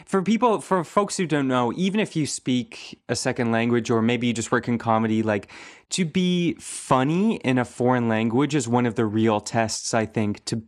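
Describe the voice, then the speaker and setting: A man hosting a podcast interview, playful with quick laughing asides. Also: mid-range voice. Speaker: podcast host. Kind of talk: casual interview chat.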